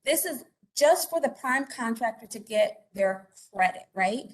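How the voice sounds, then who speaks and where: A woman's voice: a little high, animated, measured pace. A woman from Puerto Rico giving a conversational informational presentation as an investigator, with many fillers and tag questions. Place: conference or meeting room.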